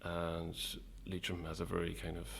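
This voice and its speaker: Deep, gravelly middle-aged Irish man. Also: completely flat delivery, normal speed, a bit bright, a little hiss or hum. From Ireland. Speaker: guest, playwright and actor. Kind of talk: casual interview chat.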